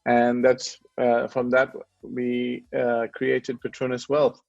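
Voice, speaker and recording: A gravelly-voiced man in his forties from Sri Lanka, speaking as an interview guest. He rambles and corrects himself as he goes. The audio is dry and a bit dull. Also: mid-range voice, completely flat delivery, slow, no room sound, faint music behind. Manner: rambling, self-correcting, chuckles often. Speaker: guest, wealth management founder. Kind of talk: conversational interview.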